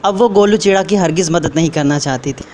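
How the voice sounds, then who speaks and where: A man's voice: a little high, fast, a little mellow. Male storyteller, faint background noise. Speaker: narrator. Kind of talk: scripted children's story narration.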